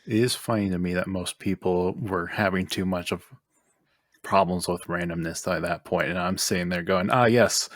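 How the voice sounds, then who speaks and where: A man's voice: sits low, steady tone, normal speed, dry recording, clean studio sound. A man chatting casually about games as a podcast co-host.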